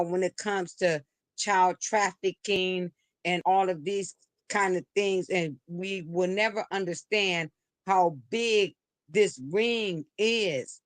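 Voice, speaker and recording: A woman's voice: medium pitch, no echo. A woman calling into a livestream, speaking hesitantly with many restarts and fillers. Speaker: livestream caller. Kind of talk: call-in commentary. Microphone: remote call into a streaming studio.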